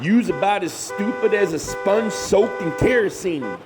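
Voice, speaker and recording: A man's voice: sits high, lively intonation, unhurried, loud music behind the voice. A man playing a cantankerous, bossy hillbilly moonshiner in a comedy audio drama, with a folksy, blustering, insulting manner. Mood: exasperated, mocking.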